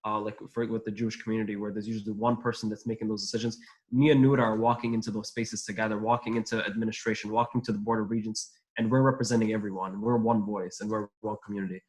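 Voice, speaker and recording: Fast-talking young man, flat delivery. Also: lowish voice, rough recording. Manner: rapid, eager, with many fillers. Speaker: student association leader. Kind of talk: panel discussion.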